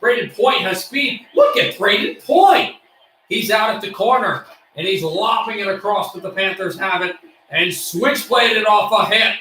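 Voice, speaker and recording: A man doing amateur play-by-play of a hockey game, excitable and bursting into shouts on shots, his voice getting worn. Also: high-pitched, normal speed, heavy reverb, far from the mic, slight background noise, rough recording. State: losing his voice. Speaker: play-by-play streamer.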